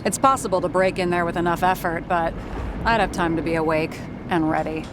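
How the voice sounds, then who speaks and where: A woman's voice: on the low side, steady tone, a little fast, background noise, lightly acted. An actress playing a tough, guarded young woman from the slums in a noir video game, speaking with a dry, determined manner. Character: tough, guarded slum-born young woman, vengeful liaison. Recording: loud city and vehicle ambience.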